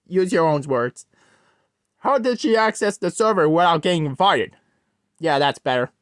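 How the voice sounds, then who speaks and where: A storyteller narrating a creepy tale in a halting way, often stumbling and rewording. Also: medium pitch, lively intonation, dry recording, clean studio sound. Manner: halting, corrects own wording mid-sentence. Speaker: host, storyteller.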